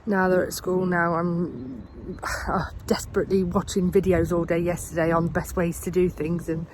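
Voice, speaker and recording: A woman's voice: lowish voice, steady tone, moderate pace, a little hiss or hum. A woman in her thirties with a cold, chatting casually on her allotment as she plans her gardening jobs, with lots of ums and restarts. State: has a cold. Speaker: gardening vlogger. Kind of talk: casual vlog chat. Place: outdoors on an allotment.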